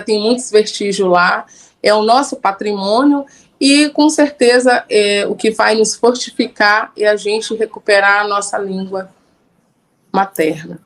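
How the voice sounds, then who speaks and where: Woman community leader, earnest and halting, average pitch, radio interview. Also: low-quality recording. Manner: earnest, halting, many restarts.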